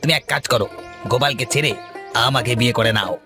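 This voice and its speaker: A man's voice: medium pitch, fast talker, music in the background, lightly acted. A man voicing a cheeky, flirtatious and cowardly customer in a cartoon. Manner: wheedling, whining when scared.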